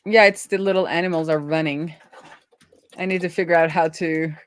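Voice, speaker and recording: A woman's voice: fairly low pitch, fairly even intonation, moderate pace. A woman hosting a coding livestream, chatting casually and thinking aloud as she works.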